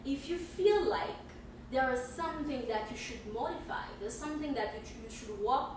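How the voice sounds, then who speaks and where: Fast-talking woman, high voice, echoey room. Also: animated, distant mic, a little hiss or hum. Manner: fast talker, stammers and restarts. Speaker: podcast co-host. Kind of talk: casual chat.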